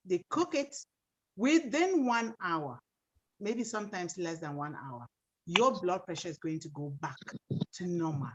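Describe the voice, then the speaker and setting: A woman's voice: mid-range voice, muffled recording, a little hiss or hum. A woman from Cameroon who calls in on a video call and earnestly tells a story.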